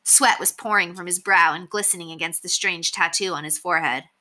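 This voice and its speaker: Brief: female story reader, slightly low pitch, very bright crisp tone, expressive children's book narration. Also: brisk. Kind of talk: expressive read-aloud narration of a children's novel.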